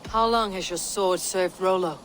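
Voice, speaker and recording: A woman's voice: fairly low pitch, normal speed, fairly bright tone, a little hiss or hum, lightly acted. A woman playing a stern, blunt Viking warrior in a video game, questioning in a terse, commanding way. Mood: accusing. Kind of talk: video game cutscene acting.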